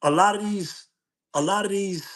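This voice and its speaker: Street-talking man, higher voice. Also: moderate pace, a bit bright. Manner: street slang, loops back with "you know what I'm saying". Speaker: social media streamer. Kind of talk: casual livestream talk.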